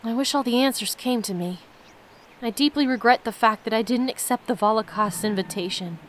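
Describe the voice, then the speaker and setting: A woman reading aloud as a solemn diary writer recounting mystical visions, in a measured storytelling manner. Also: fairly high pitch, lightly acted. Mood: pondering.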